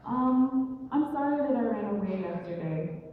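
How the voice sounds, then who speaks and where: A woman's voice: fairly high pitch, expressive, a little slow, slight background noise. A woman voicing a lovestruck, anxious young woman in a folk tale, with hesitant, earnest, casual modern speech. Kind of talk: informal oral storytelling dialogue. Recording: echoey hall from far off, phone-like tinny.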